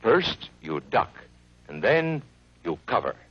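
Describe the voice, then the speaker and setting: A man's voice: on the low side, sing-song, takes it slowly, breathy edge, muffled recording. A man narrating an educational film for children in an earnest, friendly, instructive way, from an old 1950s soundtrack.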